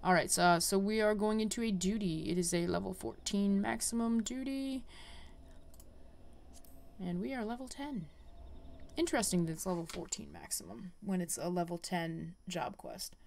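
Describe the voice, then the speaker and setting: A woman's voice: medium pitch, normal speed, gravelly, darkish tone, slight background noise. A woman giving casual let's-play commentary as a gaming host, rambling and easily sidetracked.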